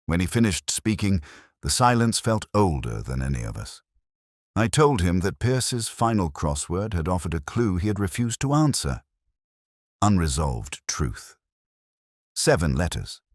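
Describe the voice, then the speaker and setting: A man narrating a scripted first-person detective story in a solemn, measured, literary manner. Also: sits low, big pitch swings, no echo, clean studio sound. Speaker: audio drama narrator.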